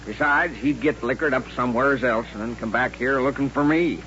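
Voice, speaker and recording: An actor playing a sly, folksy saloon keeper with an American Western drawl in an old radio western. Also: male voice, medium pitch, a little fast, sharp tone, natural voice. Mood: sly, wheedling. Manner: folksy, joking, wheedling. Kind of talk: 1950s radio western acting. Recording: dull, noisy vintage radio recording.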